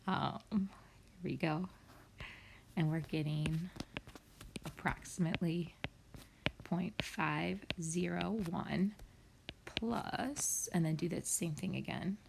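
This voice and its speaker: A woman's voice: lowish voice, takes it slowly, vocal fry, pauses often, background noise. A woman math teacher talking students through problems step by step in a recorded screen lesson, often dropping to a near whisper.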